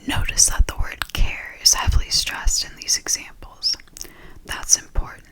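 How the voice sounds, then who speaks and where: Very bright whispering reader. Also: rough recording. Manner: fully unvoiced whisper, reading steadily. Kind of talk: whispered ASMR book reading.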